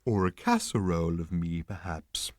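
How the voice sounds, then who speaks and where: A man's voice: deep voice, dry recording, clean studio sound, lightly acted. A man voicing a placid talking cow-like dish. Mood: placid courteous. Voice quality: slow, soft, courteous mooing tone. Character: polite bovine dish-of-the-day creature, placid and eager.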